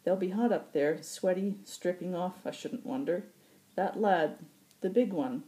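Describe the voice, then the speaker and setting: A woman's voice: lowish voice, measured pace, dark tone, pitched above the performer's own voice, lightly acted, poor audio. A woman voicing a small, wiry old male pilot in an audiobook, cheeky, chatty and salty, forever teasing. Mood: lewd, teasing.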